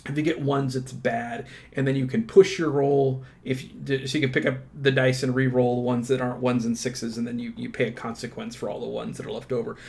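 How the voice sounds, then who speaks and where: Man giving casual, halting unboxing commentary. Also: mid-range voice, level tone, gravelly, darkish tone. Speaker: channel presenter.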